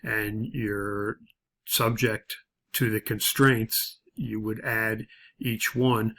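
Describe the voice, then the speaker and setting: A man giving a step-by-step tutorial as an instructor, speaking haltingly with frequent pauses and hesitations. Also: lowish voice, flat delivery, sharp tone. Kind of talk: spreadsheet tutorial, explaining step by step.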